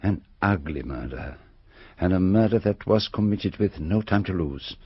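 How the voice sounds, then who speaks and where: An actor playing a courteous, methodical older Belgian detective with a French-speaking Belgian accent, formal and probing in a radio drama. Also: male voice, low voice, lively intonation, normal speed, breathy edge, dry recording, full character voice. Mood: pensive, probing. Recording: slightly dull radio recording.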